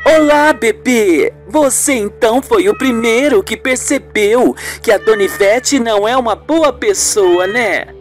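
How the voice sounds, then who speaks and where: A woman acts out a protective, easily confused aunt in a radio soap. The voice is high and exclamatory, unhurried, over background music. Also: lightly acted. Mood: affectionate. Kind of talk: amateur radio soap acting.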